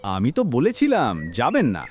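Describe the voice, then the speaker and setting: A man plays a knowing village shopkeeper in a cartoon. He is nosy, with hugely animated intonation and faint noise behind. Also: mid-range voice, unhurried. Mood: nosy, teasing. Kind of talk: animated story acting.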